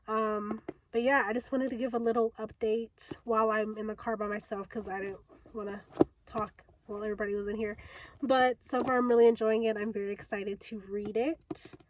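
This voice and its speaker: Gushing young woman, noisy background. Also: mid-range voice. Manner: gushing, enthusiastic. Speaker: book vlogger. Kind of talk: casual reading vlog chat to camera. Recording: clear ambient background noise.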